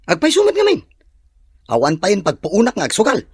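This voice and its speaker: An actor playing a surly, irresponsible gambling husband in a radio drama, with a husky voice and gruff, accusing manner. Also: male voice, high voice, wildly swooping pitch, a little fast, dry recording, studio quality, full character voice.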